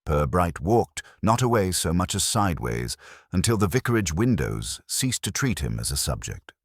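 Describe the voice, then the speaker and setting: A man reading a mystery story as a narrator, in a measured, dryly understated literary manner. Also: low-pitched, big pitch swings, no room sound, studio quality. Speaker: audiobook narrator. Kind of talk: scripted narration of a mystery novel.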